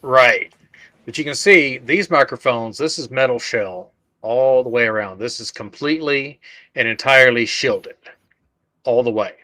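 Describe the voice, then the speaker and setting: A man's voice: mid-range voice, pushed and bright, no room sound. A man with a self-described droning voice, co-hosting a casual livestream chat about sound and recording. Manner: droning, unhurried delivery. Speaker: livestream co-host.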